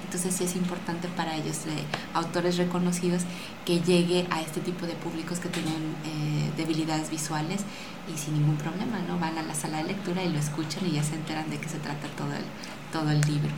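A woman's voice: deep voice, flat delivery, brisk. A woman with a Mexican accent speaking in a chatty, informal way as a guest in an interview. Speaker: radio drama maker and guest. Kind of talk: casual interview talk. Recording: echoey room with clear background noise.

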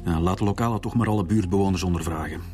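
An actor playing a stern, terse police director in a radio drama, giving orders in authoritative Dutch. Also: male voice, deep voice, very fast, a little mellow, soft background music, natural voice. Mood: brisk. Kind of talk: naturalistic radio drama dialogue.

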